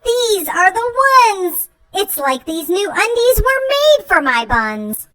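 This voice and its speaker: A fussy little monster child from a storybook, high-pitched and bright. The delivery is theatrical and comic, drawing words out slowly with exaggerated drama. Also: female voice, sing-song, exaggerated voice. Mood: excited delight. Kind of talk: children's picture book read-aloud.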